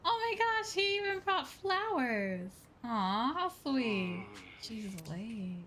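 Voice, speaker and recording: Chatty woman streamer, relaxed pace. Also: high voice, takes it slowly, a little hiss or hum. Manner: expressive, chatty reactions, reads game dialogue aloud. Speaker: streamer playing a video game. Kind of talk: casual playthrough commentary. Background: video game music.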